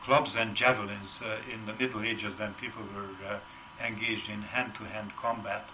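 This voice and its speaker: An older man with a heavy Hungarian accent, answering interview questions in a halting way with many filler sounds. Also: lowish voice, level tone, noisy background. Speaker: wood carver, interview guest. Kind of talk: casual interview.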